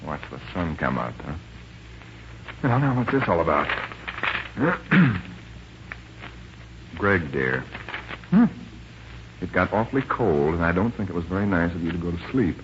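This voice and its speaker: A man's voice: low-pitched, sing-song, unhurried, pauses often, exaggerated voice. An actor playing an exasperated young male ship passenger in 1940s radio style, stammering and blustering his way along. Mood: puzzled. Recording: muffled, hissy old radio recording.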